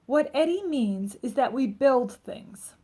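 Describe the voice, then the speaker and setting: A woman's voice: high voice, animated, takes it slowly, dark tone, voice pushed up, full character voice. A woman reading the part of a friendly, casual male builder who drives a truck in a children's storybook.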